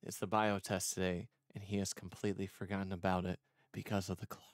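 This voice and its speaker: A man's voice: sits low, flat delivery, quick pace, no room sound, studio quality. A man casually reading a visual novel aloud as he streams it, rambling off into chatty asides. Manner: rambling, chatty asides between read lines. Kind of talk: casual game playthrough commentary with read-aloud dialogue.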